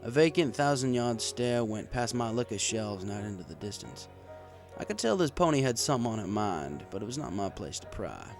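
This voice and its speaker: A man's voice: fairly low pitch, very animated, brisk. A man reading a story aloud in the first person as a bartender narrator. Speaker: audiobook reader. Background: music.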